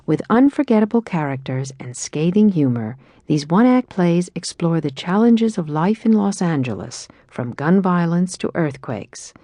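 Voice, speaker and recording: A woman's voice: lowish voice, big pitch swings, moderate pace, few pauses, mellow, no echo, clean studio sound. A woman reading a scripted introduction to an audio theatre production as its announcer.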